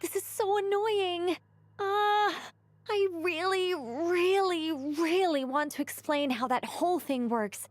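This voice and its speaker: A woman voicing a serene yet talkative angel mentor in a video game, explaining things gently and theatrically. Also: very high voice, slow. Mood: flustered. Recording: background music under voice.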